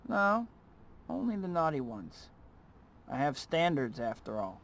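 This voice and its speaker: A man's voice: on the high side, sing-song, measured pace, a bit bright, heavily acted. A young American man playing a wisecracking, well-meaning superhero in a video game, with a boyish, self-mocking manner. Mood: cocky quipping. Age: young adult. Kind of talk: video game cutscene acting.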